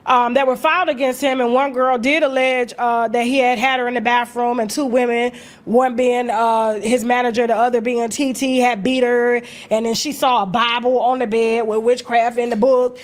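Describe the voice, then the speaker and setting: An American woman telling gossip in a casual, animated, story-like way, brash and sassy, swearing freely and acting out conversations. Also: fairly high pitch, moderate pace. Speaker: gossip vlogger and host.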